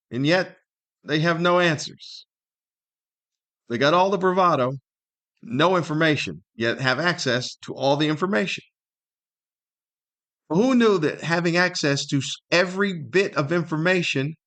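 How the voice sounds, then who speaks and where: A man's voice: a little high, fairly bright tone. Grumbling middle-aged male podcast host. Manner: grumbling, incredulous rhetorical questions. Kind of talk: humorous opinionated solo monologue.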